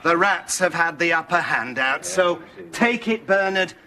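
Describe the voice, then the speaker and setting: Officious, hesitant male council chairman, high voice, comic rhyming formality, noisy background. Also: animated, unhurried. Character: bumbling, officious medieval town official chairing meeting. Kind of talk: comic rhyming stage dialogue.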